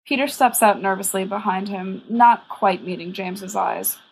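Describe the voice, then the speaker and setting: A woman's voice: medium pitch, steady tone, normal speed, gravelly, slight background noise, low-quality recording. A woman reading a story aloud as scripted audiobook narration. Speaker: audiobook reader.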